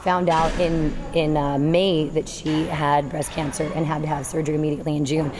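Low-voiced woman coach in a noisy gym. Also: little pitch movement, moderate pace. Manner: earnest, talks through her thoughts with restarts. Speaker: girls volleyball coach. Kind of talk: postgame interview. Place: high school gym, indoors.

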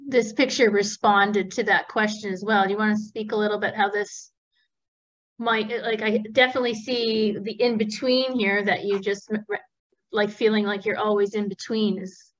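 A woman interviewing an artist over a video call, gently asking questions and encouraging her. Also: medium pitch, fairly even intonation, moderate pace. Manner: gentle, encouraging with frequent mm-hmms. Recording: dull lo-fi video call audio.

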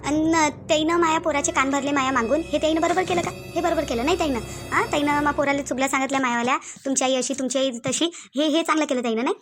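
A woman's voice: high voice, steady tone, quick pace, hardly any pauses, faint music behind, natural voice. A woman with a Varhadi Marathi accent voicing a quarrelsome, grudging mother-in-law in a cartoon family drama, scolding and accusing with pointed questions.

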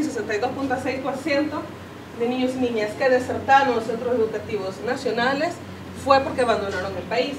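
Higher-voiced woman presenting in a room. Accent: Salvadoran Spanish. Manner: explanatory, self-correcting, many fillers. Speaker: conference speaker. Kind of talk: presenting data at a seminar. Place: conference room with audience. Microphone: far camera, no mic seen. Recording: roomy, distant camera audio with background noise.

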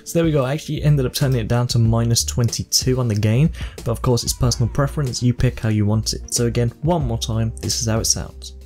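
A young man hosting a video tutorial, explaining software steps one by one into a close microphone. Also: mid-range voice, fast, faint music behind. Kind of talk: step-by-step software tutorial narration.

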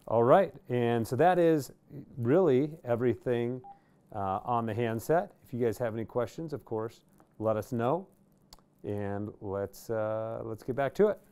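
An American man, around his thirties, giving a casual product demonstration in an informal, halting way with pauses. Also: on the low side, warm tone. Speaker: product review presenter. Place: small indoor workshop studio.

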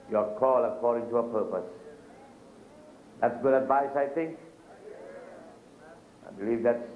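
A middle-aged man preaching a sermon at a pulpit, gesturing and calling out to the congregation. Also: medium pitch. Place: church hall with curtains, speaking at a pulpit. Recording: echoey church room, dull lo-fi video sound.

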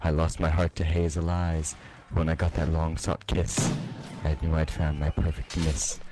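A man reading a romantic poem aloud in a slow, theatrical, dramatic way. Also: a bass voice, monotone, heavy background noise. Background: music.

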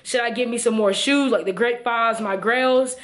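A man's voice: extremely high pitch, steady tone, moderate pace, a bit bright. A teenage boy with an American accent, chatting casually and slangily to the camera as a vlogger. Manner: slangy, informal. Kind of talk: casual vlog chat to camera. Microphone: camera at arm's length.

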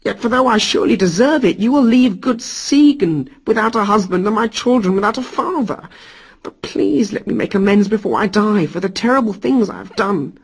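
A man voicing a cowardly male trickster god who whines and pleads for mercy in a high, strained voice. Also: expressive, moderate pace, breathy edge, exaggerated voice, poor audio. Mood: pleading. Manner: whining, pleading, sobbing.

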